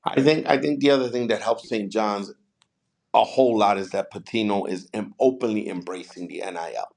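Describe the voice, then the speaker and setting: He is a New man. He hosts an interview in a conversational way, starting and restarting phrases as he works toward his point. His delivery is discursive and halting. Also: on the low side, creaky, a little mellow. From New York City, United States. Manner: discursive, halting, repeats phrases as he frames questions. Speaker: podcast host and interviewer.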